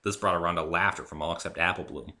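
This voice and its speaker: A man narrating a first-person story as an expressive dramatic reading. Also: deep voice, fast. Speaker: narrator and author.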